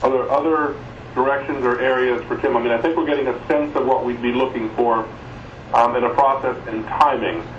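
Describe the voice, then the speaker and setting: A man leading an informal meeting discussion, speaking haltingly as he thinks aloud. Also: medium pitch, steady tone, slight background noise. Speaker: work group chair running a conference call. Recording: muffled, roomy, distant conference phone line.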